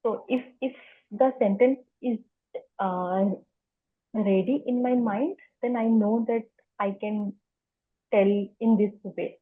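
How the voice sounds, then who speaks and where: A woman's voice: mid-range voice, steady tone, slow, pauses often, narrow, tinny audio. A woman who stammers, repeating words and sounds as she answers interview questions. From India. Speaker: interview guest. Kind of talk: conversational interview.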